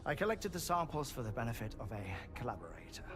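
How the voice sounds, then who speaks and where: A man's voice: medium pitch, big pitch swings, close to an everyday voice. A man playing a genial, ailing scientist in a game cutscene, formal and wordy, sometimes dropping to a whisper, with music behind him. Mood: wry, wistful. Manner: formal, wordy, measured, with soft chuckles. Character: genial, ailing, erudite male scientist resigned to heartbreak. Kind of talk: cinematic video game cutscene acting.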